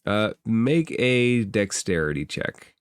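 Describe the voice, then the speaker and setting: A man narrating a tabletop fantasy game as game master, describing scenes in improvised, halting phrases and thinking aloud. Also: lowish voice, very animated, clean tone, no room sound.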